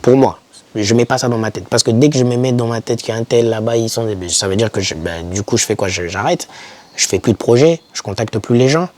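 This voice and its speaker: A man's voice: mid-range voice, a little fast, a little mellow. A young man giving informal motivational advice to camera, speaking emphatically and repeating key words to encourage the listener. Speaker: YouTuber advising foreign students.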